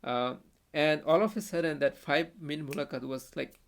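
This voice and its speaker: A young man from Bangladesh with a Bangladeshi accent, a native Bengali speaker, telling a story as a podcast guest in a halting way, full of restarts and fillers. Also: on the high side. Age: young adult. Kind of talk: casual conversational storytelling.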